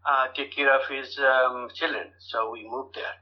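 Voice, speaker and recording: A middle-aged man from Nepal with a Nepali accent, giving halting interview answers as a podcast guest, repeating words as he goes. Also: medium pitch, steady tone. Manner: halting, repeats words and often checks with "right" and "you know". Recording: dull lo-fi audio with loud-ish background noise.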